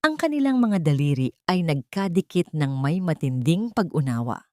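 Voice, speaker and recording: A woman with a Filipino accent reads a scripted dramatic story as narrator, in a measured, solemn and sentimental delivery. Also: low-pitched, sing-song, warm tone, no room sound, studio quality.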